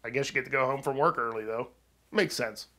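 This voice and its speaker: A man's voice: medium pitch, a little fast, pushed and bright. A man hosting an online news show, delivering casual, upbeat pop culture stories with emphatic delivery and wry, jokey asides.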